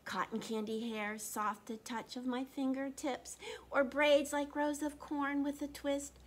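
High-voiced, loving storybook mother read by a woman. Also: level tone, a little slow. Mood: tender, admiring. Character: loving, soothing, admiring mother of a young boy. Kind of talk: children's storybook reading.

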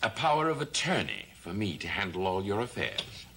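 An actor playing a middle-aged, scheming blackmailer, coldly confident, in a gothic soap opera with an assertive, theatrical delivery. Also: male voice, on the low side, huge pitch swings, fairly quick, gravelly, very noisy, heavily acted. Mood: cold, threatening.

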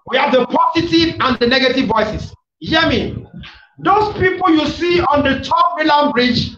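Male preacher, very high strained pitch, fiery and repetitive shouted delivery, echoey room. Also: recorded from a distance. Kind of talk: fiery Pentecostal sermon with prayer declarations. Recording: echoey, dull, noisy room recording.

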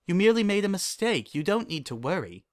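Storybook pony princess man performing: female mentor, lifted pitch, calm, regal, kindly, unhurried authority. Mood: calm, reassuring. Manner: gentle, measured, regal. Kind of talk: storybook character dialogue.

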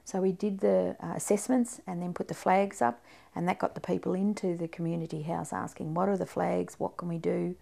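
A woman's voice: lowish voice, fairly even intonation, fairly quick, vocal fry, mellow. A middle-aged woman with an Australian accent, a community centre coordinator, speaking proudly and plainly in a sit-down interview. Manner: friendly, proud, plain-spoken.

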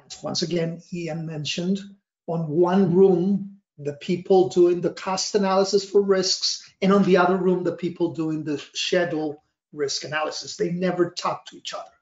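A middle-aged man with a Costa Rican accent, a native Spanish speaker, explaining slides as a consultant in a wordy, halting way with emphatic stresses. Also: high-pitched, flat delivery, a little mellow. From Costa Rica. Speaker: risk analysis trainer and consultant giving a presentation. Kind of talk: slide presentation, semi-scripted explanation. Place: small office, indoors. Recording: dull, roomy webcam recording.

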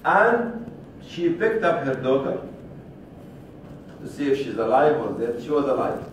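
A man's voice: medium pitch, sing-song. Older man in a very echoey room. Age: 60s. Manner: deliberate, with repetition and pauses for emphasis. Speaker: speaker telling a story to a seminar group. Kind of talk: spoken storytelling to an audience. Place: medium-sized indoor seminar room. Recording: distant, very echoey room recording with faint noise.

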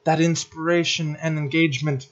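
A man's voice: a little high, flat delivery, normal speed. A man narrating a scripted podcast essay on a slightly dull recording.

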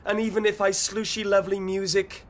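An actor playing an insolent, volatile teenage street thug in a dystopian radio drama, speaking theatrical slang. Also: male voice, sits high, little pitch movement, brisk, natural voice. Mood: cocky, aggrieved. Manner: theatrical slang-heavy speech. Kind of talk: radio drama acting and narration.

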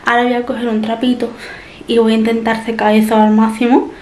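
A woman's voice: fairly high pitch, level tone, a little fast, darkish tone, a little hiss or hum. A young woman, chatting to camera in a rambling way as she narrates her chores in a small kitchen. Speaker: family vlogger. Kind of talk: casual vlog chat to camera. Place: small home kitchen indoors.

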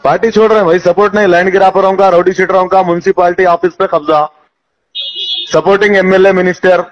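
A high-voiced man with a Hyderabadi accent speaks on a dull, lo-fi phone recording. He argues indignantly and insistently, throwing out one rhetorical question after another. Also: flat delivery, fast talker. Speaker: local party politician. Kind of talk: heated phone conversation. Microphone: phone call.

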